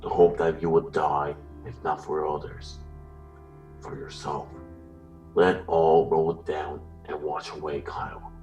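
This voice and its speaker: A cold puppet mastermind with an extremely deep male voice, speaking slowly and deliberately. He sounds distant, ominous and formal, moralizing like a horror audio drama villain over music. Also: flat delivery. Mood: calm taunting. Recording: distant voice under loud music.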